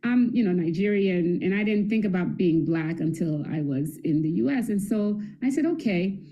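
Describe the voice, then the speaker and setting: A middle-aged woman from Haiti with a mellow, relaxed voice. She answers as an interview guest, telling her story in a chatty way, breaking into laughs and correcting herself as she goes. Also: fairly low pitch, normal speed. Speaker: guest academic.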